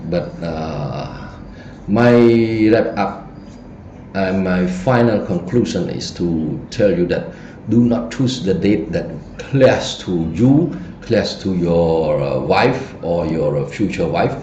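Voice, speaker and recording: Slow, deep-voiced man with a Cambodian accent. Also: expressive, mellow. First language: Khmer. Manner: halting, many fillers and restarts. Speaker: feng shui teacher. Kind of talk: online presentation lesson. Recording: dull muffled recording, roomy echo, faint noise.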